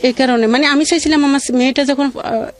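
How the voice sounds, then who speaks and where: A middle-aged woman with a colloquial regional Bangladeshi accent tells her own life story in a halting, rambling, plaintive way. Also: sits high, steady tone. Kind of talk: emotional personal storytelling.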